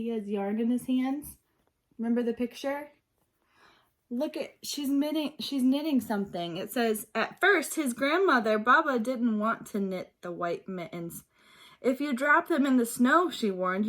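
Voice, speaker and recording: A woman reading a picture book aloud like a teacher, expressively, pausing to ask and explain things simply for young children. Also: a little high. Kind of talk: read-aloud storytelling mixed with simple questions and explanations.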